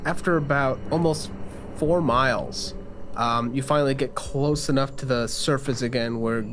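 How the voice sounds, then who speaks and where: A man's voice: medium pitch, normal speed, background noise. A man narrating a tabletop game as game master in a conversational, descriptive way.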